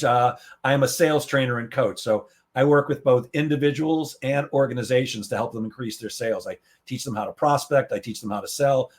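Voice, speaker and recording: Male guest, middle pitch, laid-back and halting. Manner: relaxed, hesitant, many fillers. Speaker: guest, comedian and sales coach. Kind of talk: casual interview chat.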